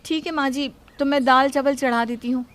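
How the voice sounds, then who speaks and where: A woman's voice: sits high, fairly even intonation, brisk, voice pushed up, natural voice. A woman voicing a plaintive young daughter-in-law who insistently justifies herself in a moral story. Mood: polite. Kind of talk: acted dialogue in a Hindi moral story.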